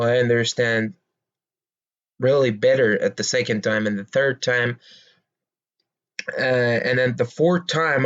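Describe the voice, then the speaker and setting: A man's voice: mid-range voice, highs cut off. A man whose first language is Persian, speaking English with a Persian accent, casually walking through a stock analysis with plenty of hesitant fillers and restarts. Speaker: investing video host.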